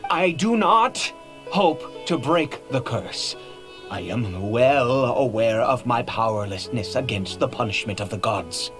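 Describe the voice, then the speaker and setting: An ancient, desperate nobleman in a fantasy video game. He speaks slowly and haltingly, lurching erratically between brooding and giddy, his pitch swooping widely. Faint music plays underneath. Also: lively intonation, fairly bright tone. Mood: earnest, brooding. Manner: theatrical, halting, erratic swings between whispers and outbursts. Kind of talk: dramatic video game voice acting.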